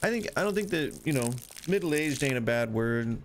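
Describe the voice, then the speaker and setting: Gravelly rambling man in his forties. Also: mid-range voice, expressive, moderate pace, a little hiss or hum. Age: 40s. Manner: rambling, self-deprecating, chatty. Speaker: gaming streamer. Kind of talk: casual gameplay chat.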